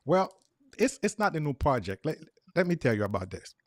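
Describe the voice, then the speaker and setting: A man's voice: a little high, sing-song, fairly quick, no echo, clean studio sound. A man in his forties from Haiti with a thick Haitian Creole accent, explaining earnestly as a guest in a casual chat and repeating words as he goes.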